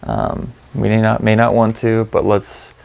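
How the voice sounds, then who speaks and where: A man leading a guided meditation in an informal, candid and gently instructive way. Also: on the low side, little pitch movement, measured pace, creaky.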